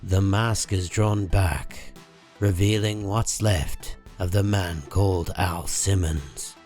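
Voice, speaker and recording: Guy narrating a comic, low slow voice, serious and dramatic, music in the back. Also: steady tone, darkish tone. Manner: measured, solemn. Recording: music behind the voice.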